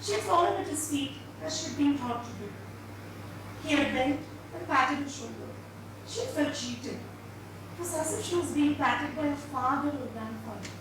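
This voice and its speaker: A woman gives a dramatised reading of literary narration, phrasing it deliberately and expressively. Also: sits high, expressive. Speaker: reader. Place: live reading at an indoor event venue. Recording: echoey hall, far mic, noisy.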